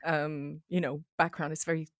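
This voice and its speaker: A woman's voice: deep voice, little pitch movement, normal speed. Interviewer, Swiss-born woman, American-tinged accent, effusive and eager questions. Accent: American-tinged English. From Switzerland. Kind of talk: conversational interview.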